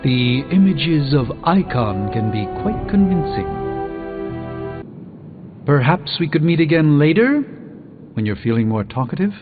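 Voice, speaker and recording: A grave, learned sorcerer hero speaks in formal, theatrical phrases with a haughty edge. The game audio is slightly dull, and loud music plays underneath. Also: male voice, on the high side, big pitch swings, unhurried, long pauses. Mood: dry, wry. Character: solemn, erudite, haughty male sorcerer superhero. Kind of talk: video game voice acting.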